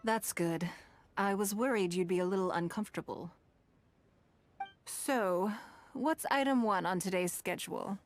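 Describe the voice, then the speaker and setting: A composed, wealth-minded businesswoman, played by a woman in a game cutscene. She talks in a calm, measured, elegant manner at a middle pitch. Her tone stays gracious and self-assured. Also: lightly acted. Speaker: game character. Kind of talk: video game voice acting.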